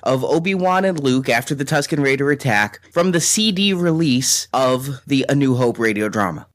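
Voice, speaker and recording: A man's voice: mid-range voice, lively intonation, normal speed. A man hosting a casual discussion, conversational and emphatic on key words. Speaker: host.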